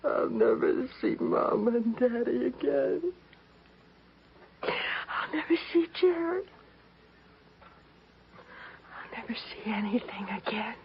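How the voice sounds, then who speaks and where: A scared, innocent teenage girl in a 1950s space radio drama. Her voice is high and plaintive, pleading like a child. The old recording is dull, with some hiss and noise. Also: unhurried, creaky. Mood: whispered despair. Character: frightened, innocent eighteen-year-old girl stowaway in space. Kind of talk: 1950s science fiction radio melodrama acting.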